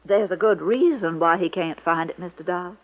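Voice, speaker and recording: A woman's voice: on the low side, moderate pace, phone-quality sound, close to an everyday voice. An actress playing an earnest, protective female servant, sincere and pleading, in a 1950s radio drama. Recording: band-limited old 1950s radio recording.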